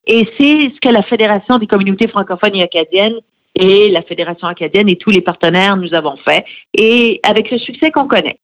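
A middle-aged woman answers interview questions in an earnest, explanatory way, pausing often on fillers. Her voice sits at an ordinary pitch. The recording is a bit dull and muffled, with a dry, close sound. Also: no room sound. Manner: earnest, explanatory, with frequent hesitations. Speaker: radio guest, head of a francophone federation.

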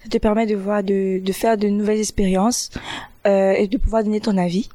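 Young woman, student intern, hesitant and halting, dry close sound. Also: medium pitch, level tone, mellow, no echo. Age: young adult. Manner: hesitant, halting, restarts often. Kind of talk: interview answers.

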